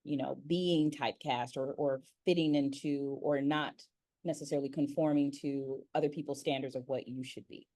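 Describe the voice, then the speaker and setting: An American woman with a low-pitched voice hosts a conversational interview. She speaks with emphasis and restates key phrases to drive them home. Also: fairly even intonation, moderate pace, mellow. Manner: emphatic, repeats phrases for effect. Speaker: interviewer and voice-acting community leader.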